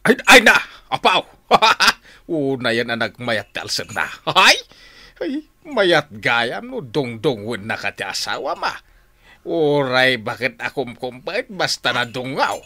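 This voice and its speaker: A man acting in a radio drama as an excitable old husband and father, with an Ilocano accent and many drawn-out exclamations. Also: medium pitch, exaggerated voice. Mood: delighted. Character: old husband and father, easily delighted, hungry.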